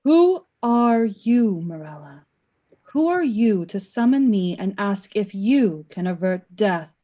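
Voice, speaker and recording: A woman playing Death in a radio play as a calm, knowing spirit, speaking in a measured, theatrical way with a taunting edge. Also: medium pitch, highs cut off. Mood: probing. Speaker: voice actor.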